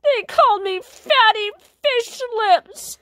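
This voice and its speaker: A woman voicing a grumpy, lonely villain fish in a storybook, blustering and emotional, with halting speech. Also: very high voice, fairly bright tone, dry recording, voice pushed up, exaggerated voice. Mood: upset, whining.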